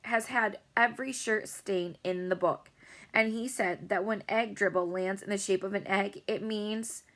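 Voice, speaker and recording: A woman's voice: medium pitch, fairly even intonation, unhurried. Woman doing a scheming, theatrical little boy. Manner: theatrical, conspiratorial, hushed at times.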